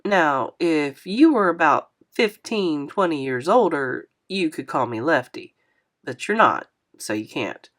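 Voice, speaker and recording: A kind older man who has raised kids and grandkids, teasing in a dry, fatherly way, as a woman reads him lower and softer. He sounds like an audiobook character. His lines land as wry jokes from a gentle storyteller. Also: expressive, a little slow, full character voice.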